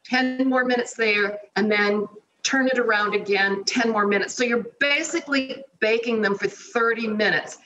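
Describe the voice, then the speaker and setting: A woman's voice: fairly high pitch, moderate pace, sharp tone, dull audio. A middle-aged woman giving a chatty, step-by-step cooking demonstration from her home kitchen over a remote video feed. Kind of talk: cooking demonstration on a morning show. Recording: roomy kitchen echo, faint noise.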